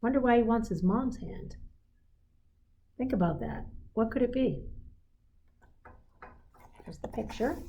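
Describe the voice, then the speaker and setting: A woman reading a picture book aloud to children in a deliberate, expressive, teacherly way, adding simple explanatory asides. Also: on the high side, slight background noise.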